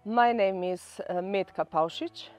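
A woman in her forties from Slovenia with a Slovenian accent, introducing herself slowly and carefully. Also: mid-range voice, faint music behind. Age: 40s. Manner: very slow, careful, with pauses. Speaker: social worker.

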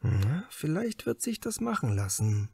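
A man's voice: medium pitch, wildly swooping pitch, a little slow, vocal fry, mellow, husky, dry recording, exaggerated voice. Man playing an earnest, embittered writer, dry recording. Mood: wry, resigned. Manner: earnest, opinionated. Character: idealistic, embittered young male writer under suspicion. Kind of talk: audiobook character dialogue.

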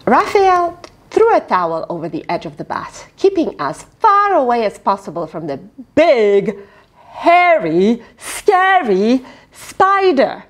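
A middle-aged woman reading a picture book aloud to children, expressive and teacherly, with questioning asides. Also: on the high side, slow. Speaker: children's storyteller, host. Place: small indoor fabric tent with cushions.

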